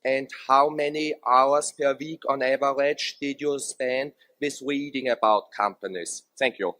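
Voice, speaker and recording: A man's voice: mid-range voice, steady tone, a little slow, fairly bright tone, dry recording. Austrian-accented man, formal and careful. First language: German. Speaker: audience member asking a question. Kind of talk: prepared question.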